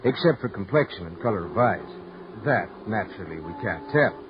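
A man's voice: sits low, lively intonation, brisk. An actor playing a brash, wisecracking harbor policeman with a theatrical, colloquial manner in an old radio crime drama. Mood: dry, matter-of-fact. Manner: blustery, mock-grandiose, colloquial. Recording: muffled, noisy old radio recording.

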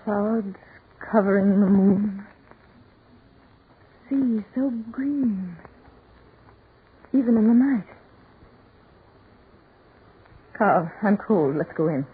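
Highly strung woman, tinny old radio. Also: animated, takes it slowly, pauses often, breathy edge, exaggerated voice. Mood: uneasy. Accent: American. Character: impatient, scornful woman turning fearful. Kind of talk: 1940s radio horror acting. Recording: noisy band-limited 1940s radio recording.

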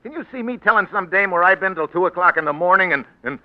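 A man's voice: sits high, expressive, fast talker. An actor playing a cocky, slangy tough-guy politician in a 1940s radio drama, blunt and flippant. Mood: irritated. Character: cocky, wisecracking tough guy turned crooked politician, a man. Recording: noisy lo-fi vintage radio broadcast.

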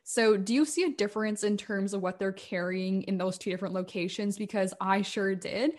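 A woman's voice: medium pitch, brisk, darkish tone. A woman hosting a podcast interview, chatting in an upbeat, enthusiastic way. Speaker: podcast host. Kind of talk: casual interview chat.

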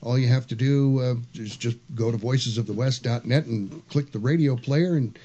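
A man hosting a radio show in a studio, casual and halting, with many fillers. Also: mid-range voice, warm tone, dull audio.

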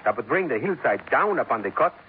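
Actor as formal Spanish-accented police captain, old radio. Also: male voice, medium pitch, big pitch swings, narrow, tinny audio, lightly acted. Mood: firm, controlled. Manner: formal, measured, deliberate. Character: calm, stubborn, principled South American police captain. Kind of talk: 1950s radio drama acting. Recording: noisy, thin 1950s radio recording.

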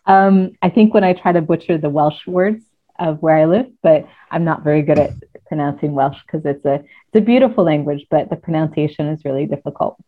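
A woman from the United States with a mixed American and British accent, speaking thoughtfully as a guest coach, often pausing with ums. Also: deep voice. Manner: thoughtful, hesitant with frequent ums. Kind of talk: casual interview chat. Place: at home near Cardiff. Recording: tinny phone-like remote call.

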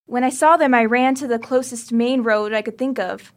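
Woman doing a teen girl, higher voice, earnest and begging. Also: fairly even intonation, moderate pace, natural voice. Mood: pleading. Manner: earnest, pleading. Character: pleading teenage girl, Sophia.

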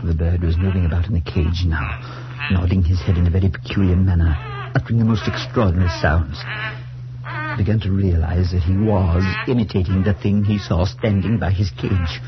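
An actor playing a grave, troubled priest who narrates in a measured, solemn 1940s radio suspense style. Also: male voice, sits low, close to an everyday voice. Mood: hushed dread. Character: grave, troubled male priest, shaken but reasoning. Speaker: narrator and character. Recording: dull 1940s radio recording with music.

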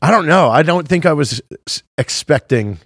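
A man chatting casually as a podcast guest, halting but emphatic, with some swearing. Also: on the high side, lively intonation, dry recording, studio quality.

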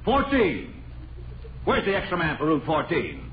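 An actor playing a brisk, bossy postmaster barking out orders with dry officiousness in an old radio comedy. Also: male voice, fairly high pitch, wildly swooping pitch. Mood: brisk, commanding. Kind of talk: 1950s radio comedy acting. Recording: noisy, dull old radio recording.

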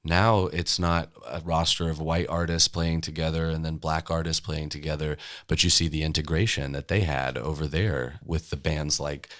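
A man's voice: a bass voice, flat delivery, moderate pace, hardly any pauses, a bit bright. A man talking as a tour guide and music historian, telling stories conversationally in an interview.

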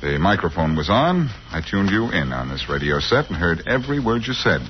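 An actor playing a wry, cool-headed amateur detective in a 1940s radio drama, on a muffled, noisy old recording. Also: male voice, deep voice, very animated, a little fast. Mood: wry, confident. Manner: wry, sardonic.

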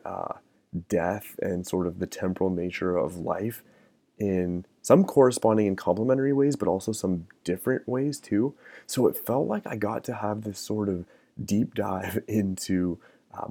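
A man hosting a podcast, talking in a thoughtful, musing way with frequent fillers and restarts, close to the mic in a quiet room. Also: low voice, creaky, no echo. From American Midwest. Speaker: podcast host. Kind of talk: reflective casual monologue.

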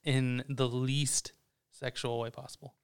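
A man's voice: medium pitch, little pitch movement, unhurried, clean tone. A man co-hosting a casual podcast chat, joking and boastful, swearing freely. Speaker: podcast co-host. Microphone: headphones on, podcast mic.

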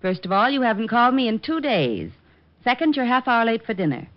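A woman's voice: fairly high pitch, brisk. An actress playing a detective's playful girlfriend, coy and a little bossy, in 1940s radio style. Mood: coy, teasing. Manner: coy, teasing, expressive. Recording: dull old radio recording.

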